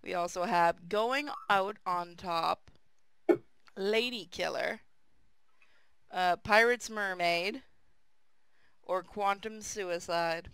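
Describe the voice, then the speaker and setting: A woman's voice: fairly low pitch, slow, pauses often, fairly bright tone, smooth, no echo. A woman hosting a live stream, chatting casually with her viewers and co-host.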